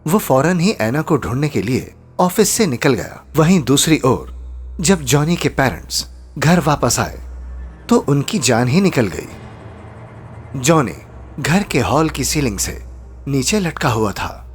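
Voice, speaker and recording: A male narrator tells a scripted horror tale with suspense. His medium-pitched voice moves expressively up and down. Faint music plays behind him. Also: sing-song, unhurried, slightly rough. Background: background music.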